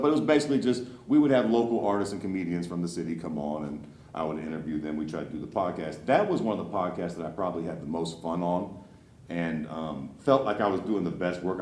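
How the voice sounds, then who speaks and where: A man in his thirties from Dayton, a podcaster, telling stories in casual talk-show chat with a deep voice. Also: darkish tone, echoey room. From Dayton, Ohio. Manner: laid-back, joking storyteller. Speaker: podcaster guest.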